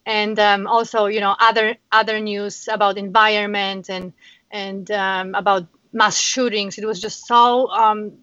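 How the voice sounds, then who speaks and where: A woman's voice: mid-range voice, level tone, unhurried, fairly bright tone, clean tone, no room sound. Earnest Polish-accented woman, muffled and dry. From Poland. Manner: earnest, many fillers and restarts. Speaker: guest, founder. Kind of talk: casual interview chat. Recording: dull, dry sound.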